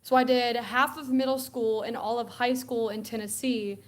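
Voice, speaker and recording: A young woman from Alabama chats about college football as a show guest. Her voice sits a little high, and she talks in a loose, chatty way, laughing often and acting out bits of conversation. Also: fairly even intonation, moderate pace. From Alabama, USA. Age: young adult. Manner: chatty, laughs often, acts out quoted exchanges. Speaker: guest co-host. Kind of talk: casual sports chat.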